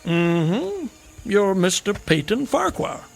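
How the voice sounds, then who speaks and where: A man's voice: high voice, big pitch swings, takes it slowly, vocal fry, exaggerated voice. An actor playing a wry, flippant male traveler who likes a drink, in an old-time radio drama, breezy and teasing.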